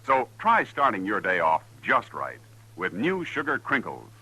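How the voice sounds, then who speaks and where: A man's voice: fairly low pitch, huge pitch swings, unhurried, sharp tone, slightly rough, low-quality recording. An American man announcing in a polished, salesmanlike 1950s radio style. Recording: vintage 1950s radio broadcast with music. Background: music.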